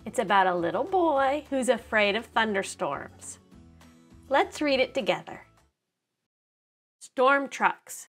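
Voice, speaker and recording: A middle-aged woman reading a children's picture book aloud to preschoolers in an expressive, deliberate storytelling manner. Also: on the high side, soft background music. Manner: animated, deliberate storytelling with dramatized sound words.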